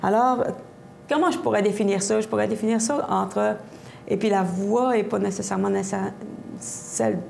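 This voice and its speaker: A middle-aged woman with an Acadian French accent and a strongly gravelly, mellow voice, talking as a singer-songwriter guest. She answers in a lively, expressive way, full of fillers and restarts. Faint noise sits under the studio lapel mic. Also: medium pitch, normal speed. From Havre-Saint-Pierre, Quebec. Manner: expressive, gestures a lot, many restarts and fillers. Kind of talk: animated TV interview answers. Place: TV studio set.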